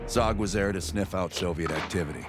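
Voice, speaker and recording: A man acts a steely covert-ops leader in a game cutscene. The voice is low and authoritative, briefing in terse phrases over loud music. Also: a little fast, close to an everyday voice. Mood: grave, resolute. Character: commanding, steely male covert-operations team leader. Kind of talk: military briefing in cinematic game.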